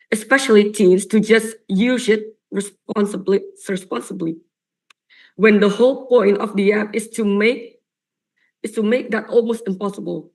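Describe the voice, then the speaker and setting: A young woman debater with a raspy voice from coughing, delivering an earnest, emphatic competitive debate speech with frequent repetitions. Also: mid-range voice, darkish tone, rough recording. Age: young adult. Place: online or stage debate venue.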